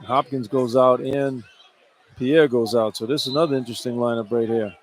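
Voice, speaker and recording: A man's voice: medium pitch, steady tone, normal speed, rough recording. A man giving colloquial, emphatic analysis as color commentator on a live basketball radio broadcast. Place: indoor college basketball arena. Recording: clear arena noise behind the voice.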